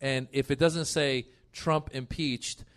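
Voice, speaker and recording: A man's voice: medium pitch, fairly even intonation, slow pace, fairly bright tone. A man chatting and joking casually as a comedian guest on a live panel.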